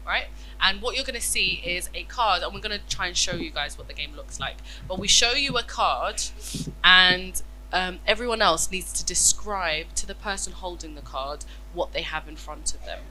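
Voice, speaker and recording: A woman's voice: medium pitch, bright, forceful tone, slight background noise, poor audio. A woman with a stuffy nose from a cold, leading an interactive lesson with children, asking questions and praising their answers. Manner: encouraging, asks questions and praises. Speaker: children's storyteller and teacher.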